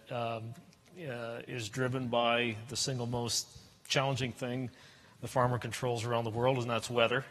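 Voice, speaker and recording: A middle-aged man speaking as a panelist in a modest, halting way. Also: mid-range voice, long reverb tail. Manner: modest, halting, even delivery. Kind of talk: panel discussion. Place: conference stage in a large hall. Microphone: lapel.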